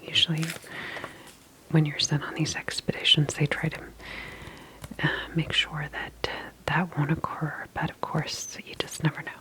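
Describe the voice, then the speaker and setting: Woman, breathy whisper, very unhurried pace, ASMR medical roleplay, gentle, reassuring clinician manner. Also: sits low, completely flat delivery, rough recording. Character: calm sci-fi clinic healthcare specialist. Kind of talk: ASMR soft-spoken roleplay.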